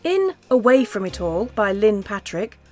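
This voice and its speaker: A woman's voice: medium pitch, big pitch swings, unhurried. A woman announcer reading scripted radio play credits in a formal announcement style. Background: guitar music.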